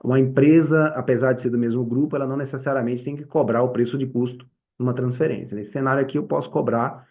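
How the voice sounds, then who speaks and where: A man with a Brazilian accent giving an informal, explanatory software tutorial as the instructor, talking conversationally and often checking in with short tag questions. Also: mid-range voice, steady tone, fast, narrow, tinny audio.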